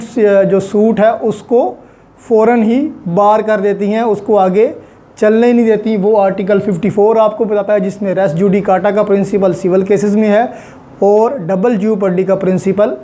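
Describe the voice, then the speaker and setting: A woman's voice: medium pitch, mellow. A woman with a Pakistani accent lecturing as a law teacher, explaining methodically in short phrases and repeating key points. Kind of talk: explanatory lecture reviewing legal articles.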